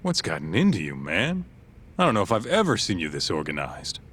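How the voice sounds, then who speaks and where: A man's voice: low voice, wildly swooping pitch, a little fast, a bit bright, slight background noise, heavily acted. A man playing a brash, casual tough sidekick to a detective in a video game cutscene. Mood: casual, joking.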